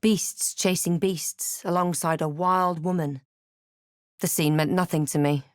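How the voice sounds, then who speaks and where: A woman playing a brooding fantasy adventurer who recounts her journey in measured, literary first-person game narration. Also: fairly low pitch, fairly even intonation, no room sound, clean studio sound, natural voice. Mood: reflective. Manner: measured, reflective, literary storytelling.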